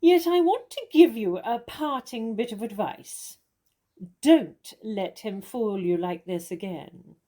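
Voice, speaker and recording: Famous stage actress played by a woman, witty and self-assured, gently mocking, mellow tone, theatrical comedy delivery. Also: on the high side, expressive, slow. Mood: advising, arch. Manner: arch, condescending, theatrical.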